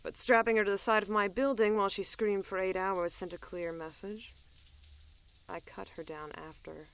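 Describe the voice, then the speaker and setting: A woman playing a cool, wry, tough female bounty hunter in an audio drama, deadpan and conversational. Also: medium pitch, normal speed, close to an everyday voice. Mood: wry, confiding. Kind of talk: audio drama, first-person narration and dialogue.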